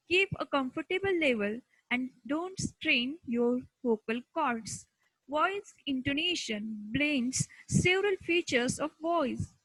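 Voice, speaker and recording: High-pitched Indian woman lecturing slowly. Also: fairly bright tone, no room sound, low-quality recording. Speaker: teacher. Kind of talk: instructional lecture.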